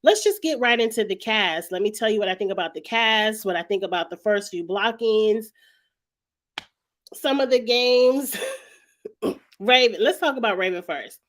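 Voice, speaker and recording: A woman hosting a casual review vlog, chatty and emphatic, her voice slightly raspy and stuffed up from a cold. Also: mid-range voice, normal speed, a bit bright. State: lingering cold with sinus congestion, clearing throat often. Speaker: YouTube show host reviewing reality TV. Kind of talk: casual vlog commentary to viewers.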